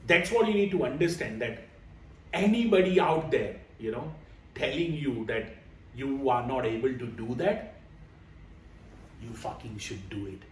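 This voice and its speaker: A man's voice: medium pitch, expressive, measured pace, long pauses. Earnest man in his late thirties from India. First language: Indian languages. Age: 30s. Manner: earnest, emphatic, repeats phrases. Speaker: podcast co-host. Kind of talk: motivational podcast talk. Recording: roomy, distant mic with faint noise.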